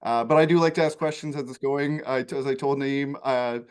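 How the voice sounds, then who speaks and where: A man with a medium-pitched voice moderates an online farming webinar. He speaks casually and informally, with a self-deprecating way of introducing things. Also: fairly even intonation, fairly quick, clear, resonant tone.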